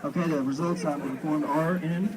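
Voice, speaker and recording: A man speaking as a meeting chair outdoors, in formal procedural language with a deliberate, halting delivery. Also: a little high, fairly even intonation, a crowd in the background. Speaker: convention chair running a meeting. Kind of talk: formal parliamentary procedure, announcing to an assembly. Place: outdoors at a parking lot gathering.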